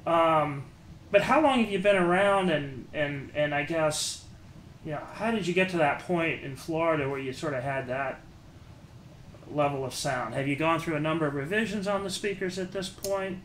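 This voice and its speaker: A middle-aged man with a slightly high voice conducts a casual interview. He talks in a halting, chatty way in an echoey room, with some noise behind him. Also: a bit bright. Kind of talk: casual chat. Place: small indoor listening room, seated. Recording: roomy echo, clear background noise.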